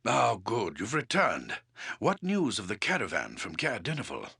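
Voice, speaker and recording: An actor playing a helpful town elder in a fantasy video game, with a rough, creaky voice and animated manner. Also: male voice, on the low side, moderate pace, heavily acted. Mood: helpful, expectant.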